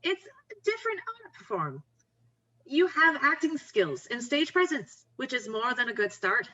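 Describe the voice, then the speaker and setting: A woman's voice: high-pitched, very animated, a little slow, bright, forceful tone, husky, highs cut off, exaggerated voice. A woman playing an upbeat, pushy, encouraging young best friend in a screenplay table read, talking casually and emphatically. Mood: reassuring.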